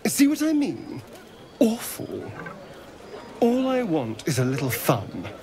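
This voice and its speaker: An actor playing a vain, seductive and sardonic rogue in a video game, with an arch, theatrical drawl. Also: male voice, fairly high pitch, wildly swooping pitch, slow pace, noisy background, heavily acted. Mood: theatrical disgust.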